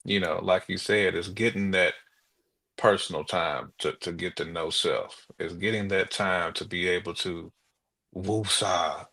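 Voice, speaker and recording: A man's voice: deep voice, steady tone, measured pace, gravelly, pushed and bright. A man co-hosting a talk show, chatting casually in colloquial, down-home phrasing. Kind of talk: casual conversational chat.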